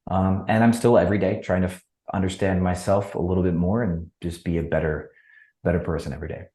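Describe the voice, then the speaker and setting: A man from Wisconsin, a podcast guest, telling his story in a relaxed, reflective way with fillers. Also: deep voice, quick pace, reverberant. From Wisconsin, USA. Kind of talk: casual interview chat.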